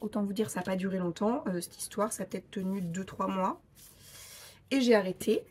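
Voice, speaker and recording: Hoarse young woman vlogging casually. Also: medium pitch, brisk, darkish tone. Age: 30s. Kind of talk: casual vlog chat.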